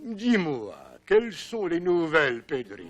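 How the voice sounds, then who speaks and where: An actor playing an inquisitive, sceptical village judge in a cartoon, speaking in probing questions. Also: male voice, fairly high pitch, very animated, a little slow. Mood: curious, incredulous. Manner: questioning, swooping. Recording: music behind the voice.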